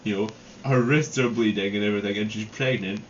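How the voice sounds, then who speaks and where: A man's voice: fairly low pitch, steady tone, fast talker. A muffled, slightly noisy lo-fi recording of a Scottish man. He reads aloud casually, dropping in amused, mocking asides and the odd swear word. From Scotland. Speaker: reader and co-host. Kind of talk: casual comic read-aloud of fan fiction with banter. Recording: muffled lo-fi room recording with hiss.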